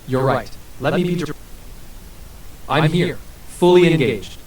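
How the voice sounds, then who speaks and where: A synthetic male voice answering as an AI interview guest, smooth and evasive with scripted-sounding replies. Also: a little high, lively intonation, a little slow, darkish tone. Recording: roomy and distant with faint hiss.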